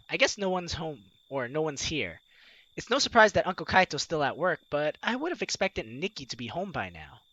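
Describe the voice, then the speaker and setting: A man's voice: high voice, no room sound, dull audio. A young man hosting a let's play, casually commenting and reading game dialogue aloud in a playful way. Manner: animated, playful. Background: game music.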